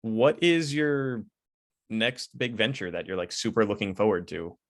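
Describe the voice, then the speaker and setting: A man asks questions in a friendly, encouraging way and backs his guest up with short replies. His voice sits a little low and is recorded dry, with no room around it. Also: unhurried, no echo. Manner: friendly, supportive interjections. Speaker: interviewer. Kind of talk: conversational interview.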